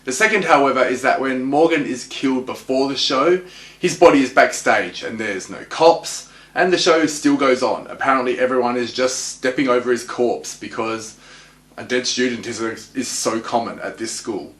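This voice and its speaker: A man's voice: medium pitch, normal speed, a bit bright. Man giving wry commentary in an echoey room. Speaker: host of a TV episode analysis show. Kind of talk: commentary and episode recap. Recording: roomy, echoing voice recording.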